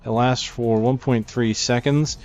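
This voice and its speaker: A man's voice: mid-range voice, steady tone, unhurried, a little mellow. A man giving casual walkthrough commentary on a video game, as the narrator of a gaming video. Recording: slightly dull mic, game music behind. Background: video game music.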